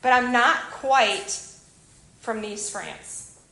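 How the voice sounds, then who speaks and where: A woman from Illinois with a Chicago accent, giving a chatty, animated church talk to a group about a missionary kids program. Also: on the high side, extremely slow, creaky, poor audio. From Illinois, USA. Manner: chatty, animated, self-deprecating asides. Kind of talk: informal church presentation to a group.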